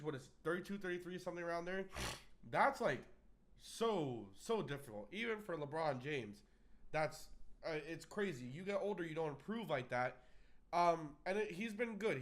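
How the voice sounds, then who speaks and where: A man from Miami talks through a cold, his voice stuffed up and nasal. He sits a little high in pitch and rambles through an emphatic sports opinion full of "uh"s and restarts, as the host of his own channel. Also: fairly bright tone. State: congested, under the weather. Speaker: sports vlogger. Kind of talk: casual sports opinion monologue.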